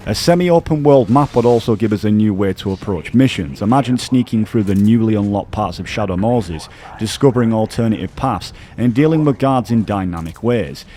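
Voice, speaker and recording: A man with a somewhat low-pitched voice presents a scripted video essay as a gaming YouTuber. He explains his points earnestly and in a measured way, in the style of online commentary narration. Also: dark tone, slight background noise.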